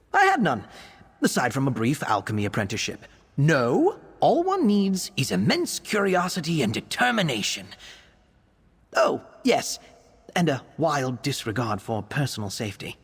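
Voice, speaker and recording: A man playing an earnest, bookish young male vampire alchemist in a video game, speaking in an articulate, expressive way. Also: mid-range voice, breathy edge, in a large hall, full character voice. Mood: curious, bemused. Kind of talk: video game character voice acting.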